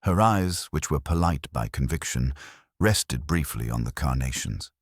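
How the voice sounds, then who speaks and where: A man reading a scripted mystery story in a measured, literary and dryly wry manner. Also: extremely low pitch, expressive, no room sound, clean studio sound. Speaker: narrator. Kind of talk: scripted audiobook-style narration of a mystery story.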